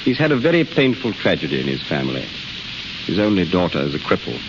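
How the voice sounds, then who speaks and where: An actor playing a formal, polite and diplomatic senior hospital doctor in a 1930s radio drama. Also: male voice, deep voice, sing-song, fast talker. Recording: hissy, muffled 1930s radio recording.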